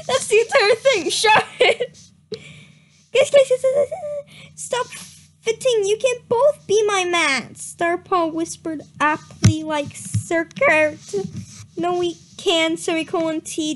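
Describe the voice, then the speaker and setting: A woman's voice: very high voice, expressive, slow pace, fairly bright tone. A young woman giving a comedic dramatic reading of badly written fan fiction, stumbling over misspellings and breaking into laughter. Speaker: reader.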